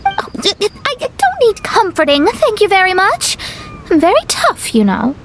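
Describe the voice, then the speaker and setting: A woman voicing a proud, easily flustered young astrologer in a video game, defensive and halting. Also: extremely high pitch, wildly swooping pitch, a bit bright, a little hiss or hum, full character voice.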